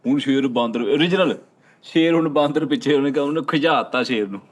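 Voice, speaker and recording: A man's voice: medium pitch, fast talker. A man with a Pakistani Punjabi accent telling anecdotes casually as a panellist. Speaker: talk show panellist.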